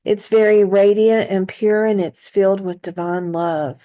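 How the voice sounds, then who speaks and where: A woman leading a guided meditation in a soothing narration style, with gentle, deliberate phrasing and long pauses. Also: medium pitch, fairly even intonation, muffled recording.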